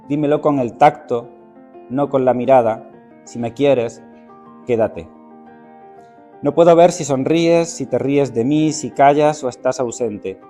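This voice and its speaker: Guy reading poems at a literary event, warm mellow voice, slow and thoughtful, bit of quiet music behind. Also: mid-range voice. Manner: unhurried, thoughtful, with hesitant fillers. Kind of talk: poetry reading with spoken introduction.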